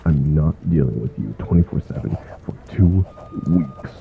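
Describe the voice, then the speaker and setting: Man acting a deep, weary, exasperated superhero. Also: animated, normal speed, gravelly, phone-quality sound, a little hiss or hum, heavily acted. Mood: fed up. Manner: dry, weary sarcasm. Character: exasperated, grumpy male superhero stuck with his nemesis. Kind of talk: comedic audio roleplay acting.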